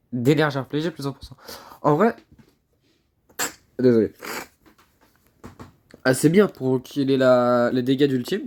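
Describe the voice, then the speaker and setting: A young man with a stuffy cold, giving casual commentary on a mobile game, chatty and excitable, often repeating his words. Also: medium pitch. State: congested with a cold.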